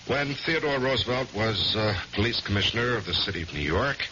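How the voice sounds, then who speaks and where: An actor playing a troubled married lawyer in a 1970s radio drama, speaking in a hesitant, halting way. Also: male voice, fairly low pitch, lively intonation, sharp tone. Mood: measured, businesslike. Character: troubled married male lawyer narrating his guilty downfall. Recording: noisy, muffled 1970s radio recording.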